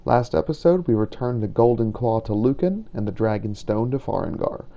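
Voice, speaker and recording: A man narrating a fantasy game story in character, in a measured, scripted delivery like a journal. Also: medium pitch, animated, vocal fry, warm tone.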